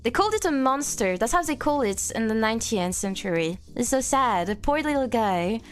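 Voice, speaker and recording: A young woman from France speaks in English with a clear French accent, her voice sitting high and swooping around lively. She chats casually with a live-stream audience, teasing and reading messages aloud. Soft music plays faintly underneath. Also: fairly quick. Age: 20s. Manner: playful, reads chat aloud, frequent laughter.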